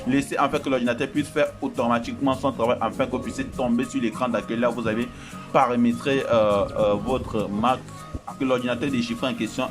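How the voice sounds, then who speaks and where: A man narrates a screen tutorial, talking casually and reassuring his viewers as friends. French is not his first language. His voice sits at an ordinary middle pitch, and loud background music runs under the whole recording. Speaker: tech tutorial host.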